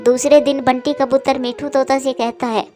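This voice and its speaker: A woman's voice: high-pitched, level tone, normal speed, mellow, soft background music. A woman narrator reading a scripted children's cartoon story.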